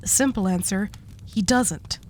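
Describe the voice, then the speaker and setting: A woman playing a commanding, sharp-tongued mafia boss in an audio roleplay drama, dry, curt and wry. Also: mid-range voice, a little slow, a little hiss or hum, lightly acted. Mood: hard, determined.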